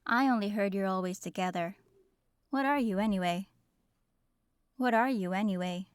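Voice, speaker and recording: A woman voicing a young noblewoman in a fantasy audio drama, with measured, enunciated delivery. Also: medium pitch, clean tone, dry recording, close to an everyday voice. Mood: curious. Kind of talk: scripted audio roleplay acting.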